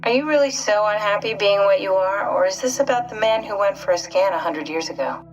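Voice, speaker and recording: An actress playing a pragmatic, reserved woman scientist who exists as a digital consciousness, speaking in a measured, reflective, naturalistic video game style. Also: mid-range voice, animated, recorded from a distance, dull audio, faint music behind, lightly acted. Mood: reassuring. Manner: measured, reflective, matter-of-fact.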